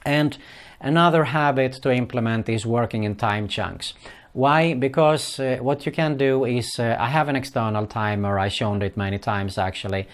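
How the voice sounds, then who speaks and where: A man's voice: mid-range voice, normal speed. A man from Sweden, speaking English as a later language, giving casual advice to viewers with many hesitant fillers and non-native phrasing. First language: Swedish. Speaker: online marketing vlogger. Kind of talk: casual advice talk to camera.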